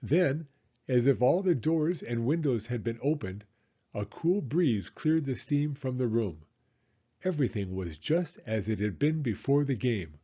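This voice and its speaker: A man reading a children's storybook as an expressive, dramatic narrator. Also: medium pitch, a little slow, breathy edge, lo-fi sound.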